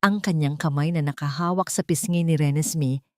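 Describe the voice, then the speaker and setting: A woman's voice: low-pitched, moderate pace, no room sound, clean studio sound. A woman narrating a scripted drama in a solemn, emotive storytelling manner.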